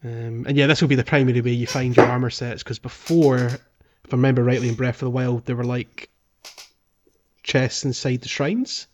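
A man's voice: mid-range voice, steady tone, normal speed, very noisy. Casual male podcast host explaining things. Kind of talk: casual chat about a video game.

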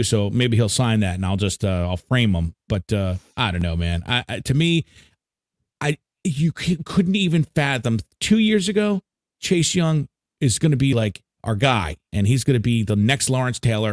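A man's voice: a little high, vocal fry, no echo, studio quality. An American man chatting casually about sports as a podcast co-host, with slangy, fan-style talk. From Frederick, Maryland, United States.

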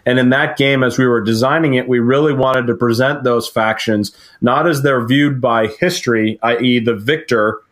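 A man's voice: medium pitch, fairly even intonation, normal speed, hardly any pauses, fairly bright tone. Earnest man explaining with restarts. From Connecticut, USA. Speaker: game designer guest. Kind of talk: casual podcast conversation.

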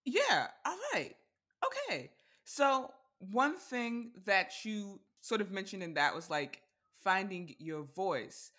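Host, upbeat and giggly, crisp bright tone, casual interviewer. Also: medium pitch, very animated, takes it slowly. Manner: giggly, upbeat, reads intros then chats. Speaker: podcast host.

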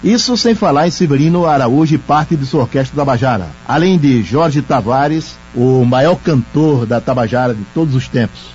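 A man's voice: mid-range voice, moderate pace, few pauses, a little mellow. A man narrating recollections in the manner of a radio veteran. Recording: slightly dull radio sound, music behind. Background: background music.

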